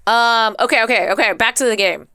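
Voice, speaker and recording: A woman chatting casually as a live streamer, talkative and rambling, with an expressive, playfully dramatic way of talking. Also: a little high, a little fast, clean tone, no echo, clean studio sound. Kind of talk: casual chatty livestream commentary.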